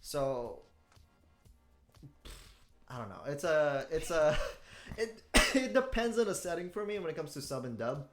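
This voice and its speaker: A man from the Philippines chatting casually as a podcast co-host, excitable and often repeating his words. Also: on the high side, unhurried, long pauses, soft background music. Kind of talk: casual chat.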